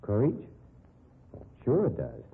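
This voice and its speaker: A deep-voiced male private detective, slangy and needling, with lively swings in his delivery. He is blunt but cares underneath, heard in a 1950s radio drama. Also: slow, vocal fry, slightly rough, full character voice. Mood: blunt, challenging. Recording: muffled, noisy 1950s radio recording.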